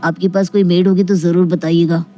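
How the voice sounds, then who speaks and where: Casting: performer as fussy male doctor in a comic story, mellow dark tone, plaintive and confiding. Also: medium pitch, flat delivery, a little fast, soft background music, close to an everyday voice. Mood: exasperated, confiding. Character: fussy male doctor obsessed with mopping floors, exasperated.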